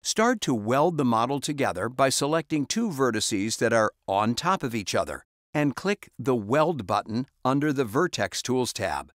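A man reading a scripted step-by-step software tutorial as a voice-over, calm and instructional. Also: medium pitch, normal speed, few pauses, dry recording, studio quality.

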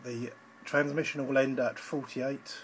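A man's voice: mid-range voice, flat delivery, a little slow, a little hiss or hum. A man giving an informal, slightly hesitant live demonstration as an amateur radio operator, recorded on a handheld phone indoors. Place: indoors at a radio station desk.

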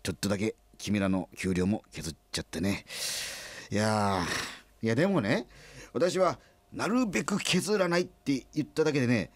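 A man's voice: medium pitch, lively intonation, moderate pace, no room sound, close to an everyday voice. An actor playing a sheepish, well-meaning middle-aged company president in a comedic radio drama, stammering and repeating his words when flustered. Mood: apologetic explaining.

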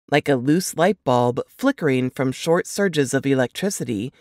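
A woman with a low voice narrates an audiobook dramatically in the first person. Her delivery is slow and very lively in pitch, recorded dry. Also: dry recording.

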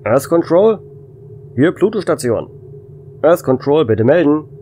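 A man's voice: on the high side, very animated, unhurried, warm tone, slight background noise. A man playing a tense space station operator in an amateur German sci-fi audio drama. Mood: businesslike.

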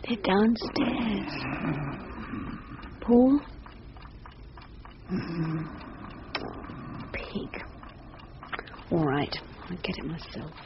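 An actress playing an anxious, insistent wife in a radio thriller, earnest and pleading. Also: female voice, medium pitch, animated, very slow. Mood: sleepy, irritated. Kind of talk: thriller radio drama acting. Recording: dull, noisy radio drama recording.

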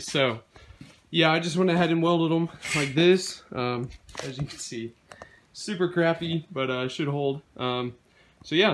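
Laid-back young man filming in his garage. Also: medium pitch. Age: young adult. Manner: laid-back, many ums and uhs. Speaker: DIY vlogger. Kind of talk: casual build narration. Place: home garage workshop.

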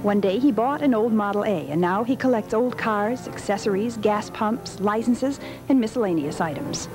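A woman's voice: mid-range voice, normal speed, low-quality recording. An American woman reading scripted narration as the host of an old television special. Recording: degraded 1970s TV videotape, music behind.

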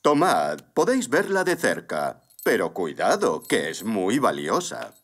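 A man's voice: on the low side, wildly swooping pitch, measured pace, fairly bright tone, full character voice. A man voicing a proud, boastful adult male zebra uncle in a dubbed cartoon, speaking pompously. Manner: pompous, showing off. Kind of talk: cartoon dub acting. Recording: music bed under dialogue.

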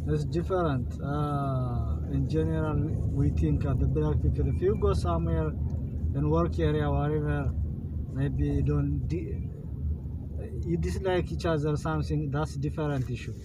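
A man's voice: a little high, steady tone. Ethiopian man talking in a car. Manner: earnest, halting with many fillers. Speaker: rideshare driver. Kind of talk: casual conversation. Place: inside a moving car at night. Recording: road noise from a car.

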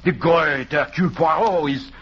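An actor playing a shrewd, courtly Belgian detective with a French-sounding accent, in emphatic 1940s radio style. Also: male voice, fairly high pitch, normal speed, a bit bright, slightly rough. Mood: excited. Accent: French-speaking foreign accent, put on. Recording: muffled, noisy 1940s radio recording.

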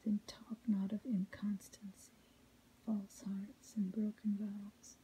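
Woman doing asmr poetry, super breathy whisper, slow and measured, really soft dark tone. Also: mid-range voice, flat delivery, a little hiss or hum, low-quality recording. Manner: measured, melodic recitation.

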